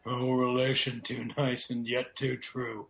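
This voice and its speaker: Slow, creaky-voiced nobleman, acted by a man. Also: medium pitch, level tone, a bit bright, poor audio. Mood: anxious, urgent. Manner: slow, emotional, dramatic verse delivery. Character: Macduff, a grief-stricken Scottish nobleman turned vengeful. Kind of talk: Shakespearean radio drama acting.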